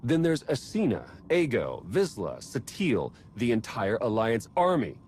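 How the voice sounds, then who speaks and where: An actor playing a wry, skeptical spy and adviser in a video game, informal and sardonic. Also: male voice, mid-range voice, huge pitch swings, a little slow, darkish tone, a little hiss or hum. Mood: sardonic, indignant. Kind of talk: cinematic video game voice acting.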